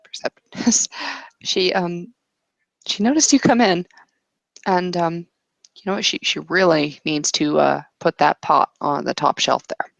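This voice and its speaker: A woman's voice: fairly low pitch, measured pace, creaky, no room sound. A woman casually narrating an improvised tabletop roleplay as game master, hesitant and thinking aloud as she goes.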